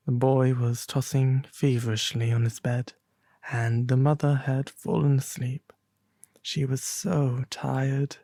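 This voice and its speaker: A performer voicing a refined owl demon prince, reading a storybook tale softly and gently in ASMR style. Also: medium pitch, vocal fry, dry recording, clean studio sound. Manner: slow, gentle, theatrical storytelling.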